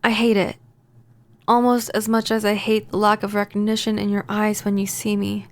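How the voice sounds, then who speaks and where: Soft, creaky lovelorn vampire played by a woman. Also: medium pitch, little pitch movement, lightly acted. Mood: pained, yearning. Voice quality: soft-spoken. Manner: intimate, halting, confessional. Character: lovelorn, possessive female vampire neighbor, gentle, hesitant, pining. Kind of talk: soft-spoken ASMR roleplay.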